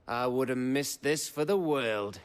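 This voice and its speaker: An actor playing a cheeky, blunt fighting companion in a video game, talking informally. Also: male voice, mid-range voice, unhurried, clear, resonant tone, close to an everyday voice. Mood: eager, vengeful. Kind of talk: video game cutscene acting.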